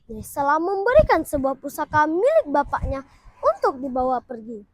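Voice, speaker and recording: A woman's voice: high voice, big pitch swings, moderate pace, a little hiss or hum. A young girl from Indonesia performing a scripted folk tale as a student storytelling contestant. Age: child.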